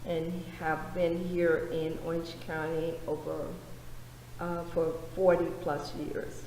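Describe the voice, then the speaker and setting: Older female panelist in her seventies, unhurried and low, echoey room. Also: level tone, a little mellow, a little hiss or hum. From Tennessee, US. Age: 70s. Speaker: panel guest. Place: church meeting room.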